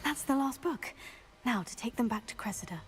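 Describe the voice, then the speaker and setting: A woman's voice: fairly high pitch, animated, brisk, over loud music, lightly acted. A woman voicing a young, polite and helpful student witch in a video game. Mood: polite, purposeful. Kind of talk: scripted video game dialogue.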